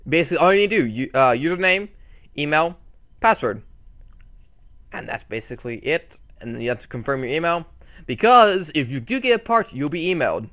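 A man's voice: fairly high pitch, big pitch swings, a little fast, a bit bright. A man hosting his channel, giving a casual, rambling update full of fillers and restarts on a desktop mic. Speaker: channel host.

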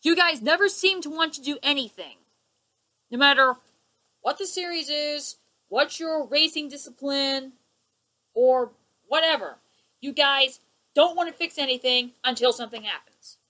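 A woman's voice: high voice, moderate pace, sharp tone. A young woman ranting at her webcam in her living room, indignant and sarcastic, waving her hands as she talks. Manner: indignant, sarcastic, gestures a lot. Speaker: vlogger. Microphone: webcam at close range.

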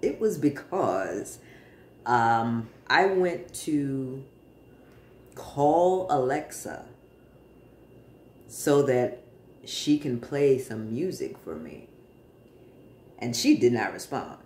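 A man in his forties chatting casually to camera from a living room, relaxed, with many pauses and fillers. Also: mid-range voice, slight background noise. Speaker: vlogger. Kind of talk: casual personal update to camera. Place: living room in front of a sofa, indoors. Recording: roomy, echoey home room.

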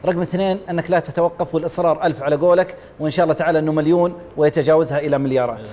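Guy on a tv judging panel, super fast, kinda high voice, lapel mic. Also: warm tone, in a large hall. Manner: enthusiastic, lists points and praises. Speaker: judge on a talent show panel. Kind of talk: evaluating a contestant on live TV. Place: TV studio set.

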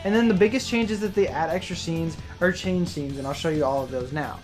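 A man's voice: fairly high pitch, a little fast, over music. A man hosting a video with casual scripted narration. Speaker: video host.

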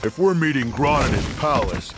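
A man's voice: mid-range voice, expressive, normal speed, over loud music, natural voice. A man playing a dim, brash villain henchman in a video game, blunt, slangy and grumbling. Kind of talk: animated video game acting. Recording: loud jungle game sound effects behind.

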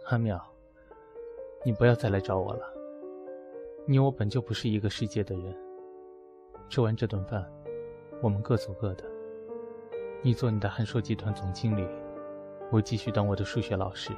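A man's voice: on the low side, steady tone, normal speed, long pauses, natural voice. A man playing a proud, wounded math teacher in an audio drama, speaking in a curt, cool way. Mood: cold, hurt. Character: proud, wounded male math teacher rejecting his former lover. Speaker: voice actor in an amateur audio drama. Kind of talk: acted audio drama dialogue. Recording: music behind the voice.

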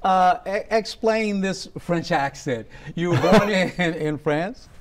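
A man's voice: sits high, slow pace, a little hiss or hum. A middle-aged man hosting a TV interview show, with upbeat, polished introductions in a studio. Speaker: TV host. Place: TV studio set.

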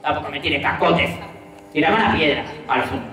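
A man's voice: on the high side, fairly even intonation, brisk, bright, forceful tone. A man with an Argentine accent hosting a variety show as a clown, with playful, campy banter with the crowd. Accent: Argentine Rioplatense Spanish. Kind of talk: stand-up banter with the audience. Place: outdoor patio stage at night. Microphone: handheld wireless mic, filmed from a distance. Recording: echoey, from a distant camera.

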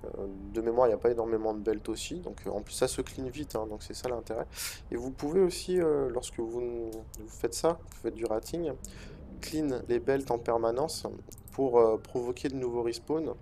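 Casting note: man, stuffy with a cold, mellow dark tone, hesitant gaming commentary, faint room hiss. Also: medium pitch, normal speed. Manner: hesitant, many "euh" fillers and restarts. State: has a head cold. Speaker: gaming video host. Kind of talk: relaxed commentary over gameplay.

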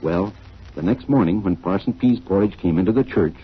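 A man's voice: low voice, fairly quick, husky, faint music behind. A man giving theatrical scripted narration in the style of 1940s children's radio storytelling. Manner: theatrical, expressive. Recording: dull vintage 1940s radio recording. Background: musical accompaniment.

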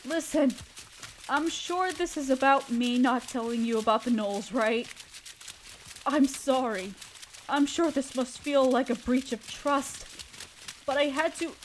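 Casting: a woman to play a spider queen for audio roleplay. High-pitched female voice, gentle and motherly, anxious, pleading, carefully spoken. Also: unhurried, long pauses, a little hiss or hum. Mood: apologetic, pleading. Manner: tender, pleading, careful phrasing. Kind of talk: scripted audio roleplay addressed to listener.

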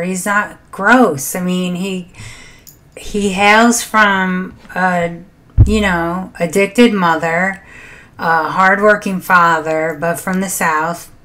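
A middle-aged woman hosting a reaction video, chatting to camera in a low, quiet voice from a small home room. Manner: soft-spoken, laughs between thoughts. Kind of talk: casual chat to camera.

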